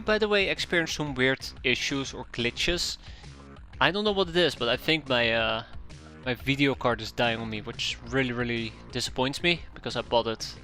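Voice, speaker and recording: A man's voice: medium pitch, normal speed, sharp tone, soft background music. A man with a Dutch accent streaming a strategy game from home, chatting casually with viewers and often correcting himself. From Netherlands. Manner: rambling, self-correcting, chuckling asides. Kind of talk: casual game commentary to chat. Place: home room.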